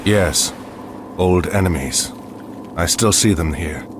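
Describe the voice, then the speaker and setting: An actor playing a proud, battle-weary admiral hero in a mythological video game, firm, dignified and commanding. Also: male voice, sits low, slow, slight background noise. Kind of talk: video game cutscene acting.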